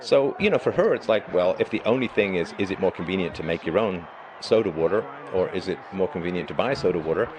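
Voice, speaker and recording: A middle-aged man with a hoarse voice, hosting a live stream and talking through his views in an emphatic, lecturing monologue. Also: low voice, lively intonation, fast, hardly any pauses, noisy background. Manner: emphatic, lecturing, with rising bursts of stress. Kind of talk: monologue commentary.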